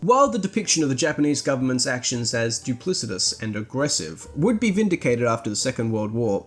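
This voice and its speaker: A man delivers a scripted, essay-style narration at a medium pitch. His intonation moves widely up and down, and his phrasing is formal and literary, articulated as a podcast host would for a considered review.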